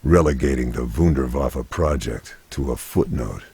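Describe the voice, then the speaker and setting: Game cutscene narrator: hardened military man, solemn and measured, rock-bottom pitch, close dry sound. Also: darkish tone, no echo, full character voice. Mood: grave, solemn. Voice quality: gravelly, husky. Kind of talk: scripted documentary-style game cutscene narration.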